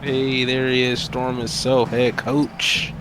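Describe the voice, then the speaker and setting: A man's voice: medium pitch, flat delivery, slow, noisy background. A man giving color commentary on a simulated basketball stream in a laid-back way, breaking down players.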